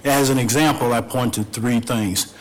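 A hoarse older man, an appellant property owner, giving an earnest, measured formal statement at a public hearing. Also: medium pitch, fairly even intonation, rough recording.

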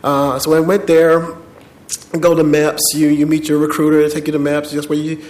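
Higher-pitched American man in an echoey room. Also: completely flat delivery, darkish tone. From born in the Bahamas, grew up in Miami and Atlanta, United States. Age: 30s. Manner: halting, casual, colloquial. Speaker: speaker, army veteran. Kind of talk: informal personal storytelling to an audience. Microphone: event microphone.

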